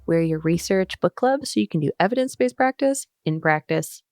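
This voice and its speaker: A woman's voice: lowish voice, expressive, brisk, a little mellow, dry recording, studio quality. A young adult woman co-hosting a casual podcast, playful and sarcastic, laughing often. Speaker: podcast co-host, speech-language pathologist. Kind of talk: casual chat.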